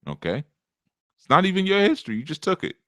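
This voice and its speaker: A man's voice: high-pitched, sing-song, quick pace, bright, forceful tone, no room sound, clean studio sound. A man giving a casual spiritual teaching on a live show, talking in a conversational, rambling way with many asides.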